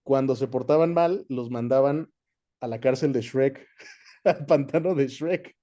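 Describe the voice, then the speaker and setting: A man with a Mexican accent giving measured, conversational explanations as an interview guest, often checking in with short tag questions. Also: mid-range voice, dark tone. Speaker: interview guest, voice actor and dubbing director.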